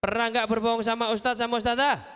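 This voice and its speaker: A man giving an animated, interactive Islamic motivational talk to schoolchildren, asking questions and repeating phrases. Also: very high voice, fast talker, a faint crowd, slight background noise. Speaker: children's storyteller and motivational speaker. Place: live event at a school before children.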